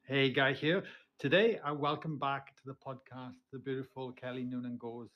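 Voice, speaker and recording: A man opens a podcast episode and introduces his guest in a friendly manner, with a few small restarts. His voice is at a middle pitch, and the audio sounds dull and lo-fi. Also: fairly even intonation, normal speed. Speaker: podcast host.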